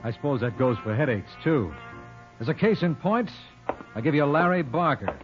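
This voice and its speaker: Over prominent music on a muffled old radio recording, an actor plays a wry American lawyer telling a mystery. He is a mid-pitched man, self-amused and folksy in his narration. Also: lively intonation, moderate pace, close to an everyday voice. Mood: wry, inviting. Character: wry, cliche-loving male lawyer narrating mystery tales.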